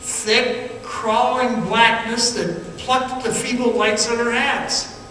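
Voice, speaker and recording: An actor playing a haunted man grimly recalling an ordeal, in halting, suspenseful radio-drama narration. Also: extremely high pitch, steady tone, sharp tone, exaggerated voice. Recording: echoey distant radio play recording, faint hiss.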